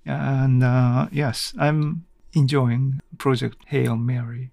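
Male podcast host, casual audio-blog talk, slow pace, gravelly fry, hesitant, halting phrasing. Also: mid-range voice, level tone, over loud music. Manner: halting, hesitant, searching for words.

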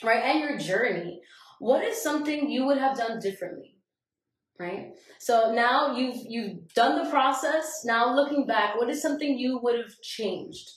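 Interview host: woman, encouraging, many tag questions, slightly high voice, echoey room. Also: animated, a little slow. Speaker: podcast host. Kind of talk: conversational interview.

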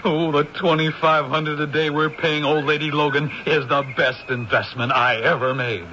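An actor playing an eager, fast-talking film producer who hems and haws, in a radio drama. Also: male voice, fairly high pitch, flat delivery, lightly acted. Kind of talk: 1970s radio drama acting. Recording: dull old radio recording with hiss.

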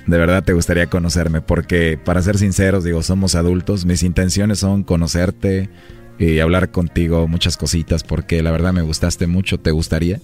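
Mexican guy with a deep voice flirting on a prank call, bit of music under him. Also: flat delivery, moderate pace, a little mellow. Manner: smooth-talking, flirtatious. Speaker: radio prank caller posing as a suitor. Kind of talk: flirtatious prank phone chat.

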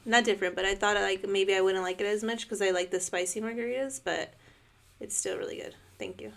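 A woman's voice: mid-range voice, animated, brisk, vocal fry, a bit bright. Chatty young woman hosting. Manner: upbeat, chatty, frequent "like". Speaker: podcast host. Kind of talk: casual podcast chat.

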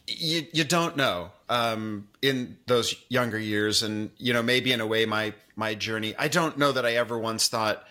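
A male podcast host with a bright, forceful voice. He reads a guest intro and then slips into friendly, conversational questions. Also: mid-range voice, a little slow, hardly any pauses. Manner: friendly, conversational with "you know". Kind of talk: interview hosting, scripted intro.

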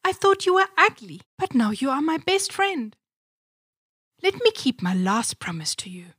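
A man voicing a spoiled young princess from a storybook, squealing and high-strung. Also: very animated, normal speed, pushed and bright, full character voice. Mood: apologetic.

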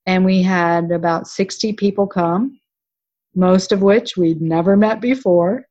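A woman's voice: lowish voice, a little slow, mellow. A middle-aged woman chatting in an interview, explaining things with examples. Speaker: guest, co-founder parent of a charity. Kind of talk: casual interview talk. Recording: slightly dull lo-fi recording.

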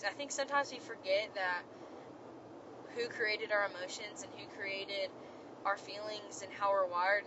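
A young woman, speaking as a podcast host in casual faith talk, earnest and encouraging, her voice still cracked after being sick, recorded while driving in a car. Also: mid-range voice. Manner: earnest, encouraging, rambling with many fillers. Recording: dull lo-fi sound, road noise behind.

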